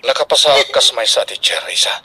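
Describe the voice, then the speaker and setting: Man acting a calm, supportive friend. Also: brisk. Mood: reassuring. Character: calm, supportive male friend reassuring a young woman. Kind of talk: radio drama acting.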